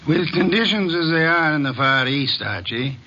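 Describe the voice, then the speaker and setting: An actor playing a shrewd, imperious detective boss in an old radio drama, speaking formally and deliberately. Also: male voice, a little high, animated, bright, forceful tone. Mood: brisk, commanding. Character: shrewd, imperious middle-aged male private detective boss. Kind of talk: 1950s radio detective acting. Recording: dull vintage radio recording.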